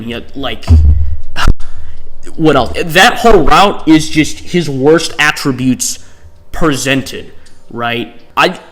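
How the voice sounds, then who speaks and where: A man's voice: medium pitch, fairly bright tone. A man giving casual, rambling commentary as a host, with an exasperated, hyperbolic way of ranting. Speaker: host ranking visual novel protagonists.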